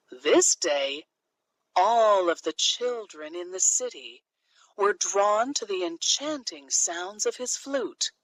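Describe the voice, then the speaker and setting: A woman narrating a children's fairy tale from a script, in an expressive, deliberate storytelling manner. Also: on the low side, no room sound, rough recording.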